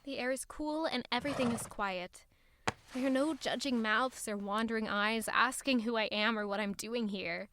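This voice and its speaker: A woman acting a guarded, earnest young traveler with quiet defiance in an audio drama. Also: fairly high pitch, normal speed, background noise, close to an everyday voice. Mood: reflective, calm. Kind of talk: audio drama acting with first-person narration.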